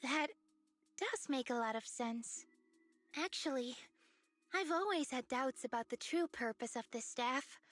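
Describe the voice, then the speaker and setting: A woman's voice: high voice, lively intonation, unhurried, fairly bright tone, faint music behind. A woman voicing an earnest, curious young tribeswoman in an anime-style game. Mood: reflective, unsure.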